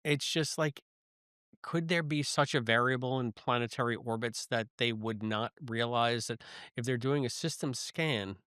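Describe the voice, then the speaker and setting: A man's voice: mid-range voice, moderate pace, fairly bright tone, smooth, dry recording. Man podcasting in a dry, close sound. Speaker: podcast co-host. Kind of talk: casual review discussion of a sci-fi audio drama.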